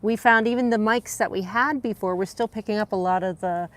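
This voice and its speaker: A woman with a soft voice co-hosting a casual livestream chat, reading viewer comments aloud and thanking them. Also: medium pitch, a little fast. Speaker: livestream co-host.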